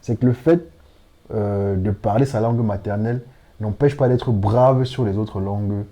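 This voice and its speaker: A man hosting an interview asks calm follow-up questions and affirms the guest's points. His voice is very dark and low, with a relaxed delivery. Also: normal speed. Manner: calm, affirming, brief acknowledgments.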